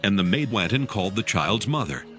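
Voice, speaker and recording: A man with a lowish voice narrates scripture in a measured, solemn way. His intonation rises and falls expressively, and faint music plays underneath. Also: big pitch swings, fairly bright tone.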